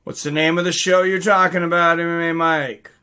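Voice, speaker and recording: A man's voice: on the high side, flat delivery, brisk, pushed and bright, clear, resonant tone. A man hosting a live stream, chatting casually and reading out comments from viewers.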